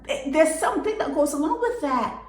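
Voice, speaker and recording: A woman giving impassioned solo commentary, firing indignant rhetorical questions that leap up sharply in pitch. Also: lively intonation, normal speed, darkish tone, echoey room.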